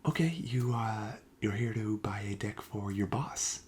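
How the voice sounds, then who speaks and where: Soft low-voiced man playing a friendly shop clerk. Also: unhurried, slight background noise, close to an everyday voice. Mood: welcoming, reassuring. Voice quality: soft, breathy, often slipping into whisper. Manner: hesitant, casual, accommodating salesman patter. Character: friendly, reassuring male card shop clerk. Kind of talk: soft-spoken ASMR roleplay.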